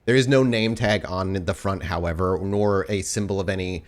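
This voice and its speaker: Low-pitched man narrating a tabletop game. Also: moderate pace. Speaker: game master.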